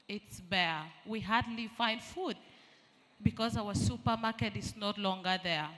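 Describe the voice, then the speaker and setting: A woman's voice: mid-range voice, a bit bright. A woman, raised in Uganda, giving an earnest statement at a conference and asking the audience rhetorical questions. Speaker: refugee representative, panel speaker. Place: large conference hall. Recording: long reverb of a large conference hall.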